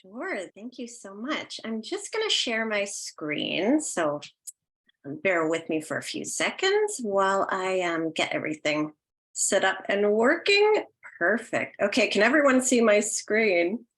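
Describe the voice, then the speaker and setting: A woman's voice: medium pitch, very animated, measured pace, a bit bright. Friendly Canadian woman presenting online. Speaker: associate theatre director presenting a session. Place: indoors, online video call.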